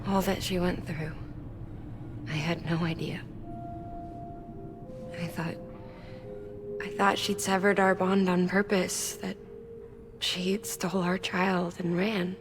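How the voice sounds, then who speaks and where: An actress playing a grieving, devoted scientist in a video game, speaking in a measured, tender and earnest way. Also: female voice, on the low side, level tone, in a large hall. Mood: sorrowful, wistful. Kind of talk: dramatic video game cutscene acting. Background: prominent background music.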